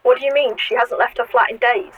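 An actress playing a nosy, excitable building machine who talks in a chatty, insistent and sassy way in an audio drama. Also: female voice, a little high, fairly quick, a loud crowd, natural voice, low-quality recording. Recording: very loud noise, radio-like clicks.

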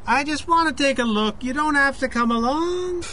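A man's voice: very high voice, normal speed, pushed and bright, a little hiss or hum. An actor playing an intense, guilt-ridden man confessing to murder in an audio drama. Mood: scheming, tense.

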